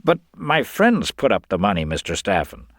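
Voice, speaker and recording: An actor playing a smooth con man who feigns sincerity in folksy, earnest, confiding talk. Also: fairly low pitch, wildly swooping pitch, normal speed, a bit bright, no echo, clean studio sound, heavily acted. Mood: earnest, frank.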